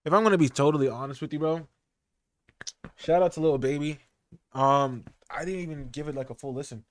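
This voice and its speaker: A man's voice: a little high, brisk. A man co-hosting a casual podcast, talking in an easygoing, agreeable way. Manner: easygoing, agreeable, laughs along. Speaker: podcast co-host. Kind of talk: casual chat about rap and culture.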